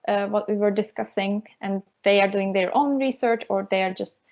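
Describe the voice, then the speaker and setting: A woman's voice: medium pitch, moderate pace, a little mellow, highs cut off. A woman in her thirties from Turkey, speaking English with a Turkish accent as a lecturer being interviewed, with many fillers and restarts. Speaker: university lecturer, guest. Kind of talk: casual interview over a call.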